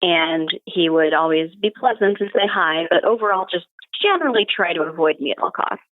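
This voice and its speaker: A woman's voice: on the low side, animated, a little fast, dry recording. A young woman telling personal stories casually as a podcast guest, conversational and matter-of-fact, over a remote call with narrow phone-like audio. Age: young adult.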